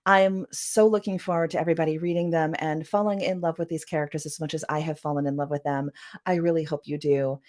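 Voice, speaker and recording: A Canadian woman with a Vancouver accent, talking casually as an author guest, with many fillers and frequent laughs. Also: lowish voice. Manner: chatty, laughs often, many "you know" fillers. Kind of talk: casual interview conversation.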